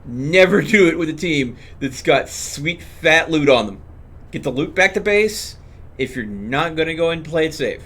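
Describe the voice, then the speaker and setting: A man's voice: a little high, animated, moderate pace, fairly bright tone, husky. A man streaming a video game, explaining what happens on screen as he plays. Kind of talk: live gameplay commentary, explanatory.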